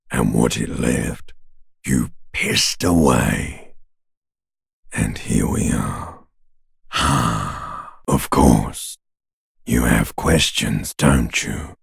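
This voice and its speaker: A man voicing a bloated, bitter talking corpse in a video game, with a gravelly rasping growl and a slow, taunting, insinuating manner. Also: very deep voice, no echo, studio quality, full character voice. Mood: bleak, goading. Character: bloated talking male corpse, bitter, mocking, world-weary. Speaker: voice actor. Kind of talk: video game character voice lines.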